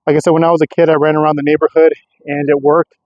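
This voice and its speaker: A man's voice: on the high side, flat delivery, racing, dry recording. A man talking casually as a vlogger during a field test of radio gear, in slightly muffled audio.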